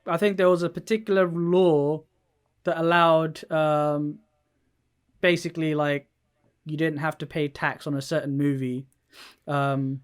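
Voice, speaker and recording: A man's voice: fairly high pitch, fairly even intonation, measured pace, pauses often, clean tone. A man co-hosting a podcast, explaining things casually with some swearing. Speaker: podcast co-host. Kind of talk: casual podcast chat about games and films.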